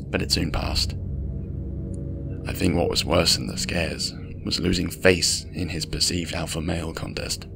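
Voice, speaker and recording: A man narrates a scripted scary story in the first person. His voice is low with a gravelly fry, and music plays clearly behind him.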